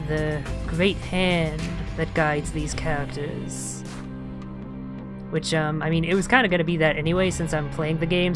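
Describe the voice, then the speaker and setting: A let's player giving casual video game commentary, musing out loud and reading game text aloud. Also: mid-range voice. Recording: game music behind the voice.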